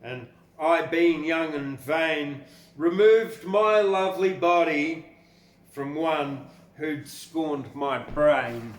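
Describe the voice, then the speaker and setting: Older aussie bloke doing poetry, slow and rambly, roomy echo. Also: male voice, a little high, fairly even intonation. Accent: Australian. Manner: rambling, informal, blunt. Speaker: poetry podcast host and reader. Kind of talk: casual commentary and expressive poetry reading. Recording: echoey room with faint background noise.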